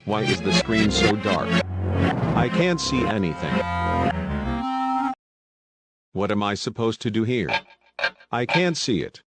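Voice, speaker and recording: A man's voice: fairly low pitch, very animated, normal speed. A man playing a confused, easily startled video game player in an acted horror story. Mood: confused, uneasy. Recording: loud music over the voice. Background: loud music.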